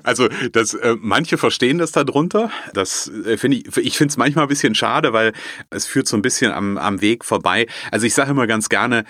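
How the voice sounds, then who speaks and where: A man's voice: medium pitch, moderate pace, a bit bright, dry recording, studio quality. A man speaking as a podcast guest, talking thoughtfully with frequent restarts and fillers. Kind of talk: casual conversational interview.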